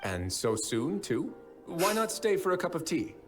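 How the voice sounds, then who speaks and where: A man voicing a composed, shrewd, gentlemanly fortress overseer in a video game, articulate and deliberate. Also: on the high side, very animated, over music. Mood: gently persuasive.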